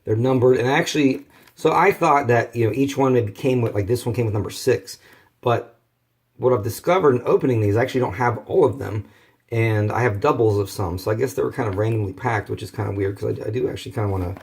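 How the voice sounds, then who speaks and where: A hoarse man, rambling casually through a toy collector's unboxing video. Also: medium pitch, quick pace. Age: 30s. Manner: rambling, many fillers and restarts. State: hoarse from bronchitis.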